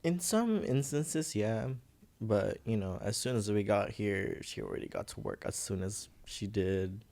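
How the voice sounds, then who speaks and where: Slow, gravelly young man. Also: on the low side, sing-song. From Philippines. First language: Visayan. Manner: soft-spoken, halting, searching for words, laughs nervously. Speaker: podcast guest. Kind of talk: personal story in casual interview.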